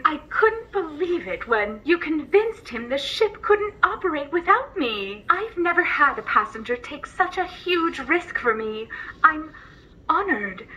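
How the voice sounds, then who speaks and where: A high-pitched, earnest female droid, polite and formal as she attends to her passenger. She speaks like an eager bedtime storyteller in a scripted sci-fi tale, with slightly dull, lo-fi sound. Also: pushed and bright, exaggerated voice. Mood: grateful, excited. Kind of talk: scripted character dialogue and storytelling.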